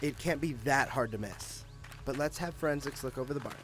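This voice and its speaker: A man's voice: mid-range voice, brisk, over music, natural voice. A man acting a confident, cynical police detective with a sardonic, matter-of-fact manner in an audio drama. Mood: businesslike.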